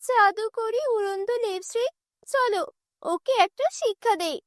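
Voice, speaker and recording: A woman's voice: extremely high pitch, a little slow, no room sound, studio quality, voice pushed up. A woman voicing a poor, brave young village girl in a cartoon fairy tale, earnest and emotional. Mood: alert, determined.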